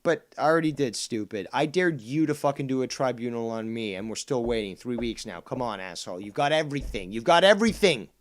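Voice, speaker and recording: A man's voice: mid-range voice, animated, fairly quick, hardly any pauses. Combative profane man, first language Spanish. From Canada. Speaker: streamer host. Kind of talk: heated commentary on a clip.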